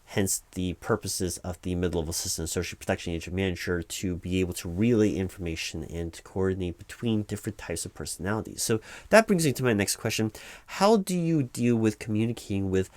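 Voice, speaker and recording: A man acts out a distracted corporate recruiter with a deep voice, recorded very dry. He talks loudly and officiously in a comedic audio roleplay, hesitating and rambling through company policy-speak like a busy manager. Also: fairly quick, no echo, natural voice. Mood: officious, evasive. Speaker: hiring manager conducting a job interview.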